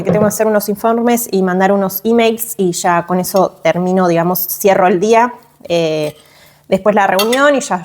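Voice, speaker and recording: A woman in her thirties with an Argentine Spanish accent chats to the camera at home in a casual vlog. Her voice sits at a middle pitch for a woman. She talks loosely, with plenty of fillers and self-corrections. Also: darkish tone. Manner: chatty, many fillers and restarts. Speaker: vlogger and mother. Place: at home, indoors.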